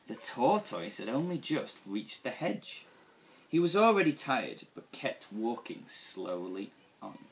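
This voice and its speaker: A young man reading a picture book aloud to children in an expressive, deliberate storytelling style. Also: medium pitch, slight background noise, rough recording. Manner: animated, deliberate storytelling delivery. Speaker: school staff member reading to young children.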